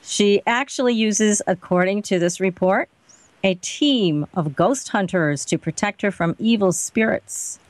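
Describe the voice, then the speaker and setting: A woman's voice: medium pitch, a little slow, dry recording. A woman hosting a paranormal radio talk show, eagerly announcing upcoming guests and chatting with her co-host.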